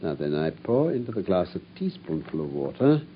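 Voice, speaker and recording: An actor playing a brilliant, self-assured English gentleman detective in a theatrical, precise mid-century radio drama style. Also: low voice, big pitch swings, normal speed. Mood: measured, methodical. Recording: muffled, faintly noisy old radio recording.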